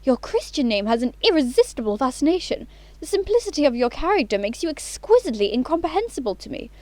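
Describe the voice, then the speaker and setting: Lady acting a posh young society girl, high swooping voice, grand and bossy, very romantic, close dry sound. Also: sing-song, fast, darkish tone, no room sound. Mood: grandly romantic. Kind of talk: theatrical comedy of manners audio play.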